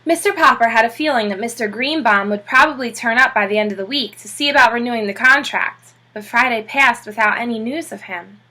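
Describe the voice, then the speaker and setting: A woman reading a children's book aloud in an expressive narrating style, like a teacher. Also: fairly high pitch, a little fast, few pauses.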